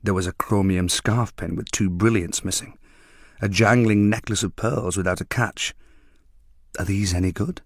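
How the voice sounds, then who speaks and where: A man's voice: on the low side, fairly even intonation, normal speed, no echo, clean studio sound. A man reading a literary short story as a scripted narration.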